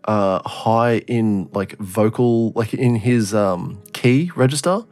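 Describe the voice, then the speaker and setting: A man's voice: on the low side, slow pace, darkish tone, no room sound. A man in his twenties co-hosting a podcast, rambling in casual chat with heavy swearing and lots of fillers. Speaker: podcast co-host.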